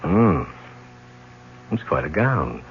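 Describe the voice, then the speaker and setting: A ruthless middle-aged tycoon, smug and used to command, in a vintage radio play. His delivery is lively, and the old broadcast recording is dull with a faint hum. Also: sits low, drawn-out words, full character voice. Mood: smug, suave. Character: ruthless, arrogant male business tycoon and father. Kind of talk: 1970s radio drama acting.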